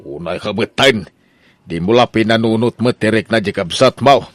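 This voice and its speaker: A man's voice: fairly low pitch, moderate pace, no echo, natural voice. A man with an Ilocano accent playing an exasperated, scolding old father of grown sons in a comedy radio drama.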